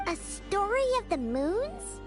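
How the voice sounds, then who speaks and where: A woman voicing a tiny, childlike, curious fairy companion in a game, squeaky and inquisitive. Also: wildly swooping pitch, slow pace, music in the background, heavily acted. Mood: amazed, curious.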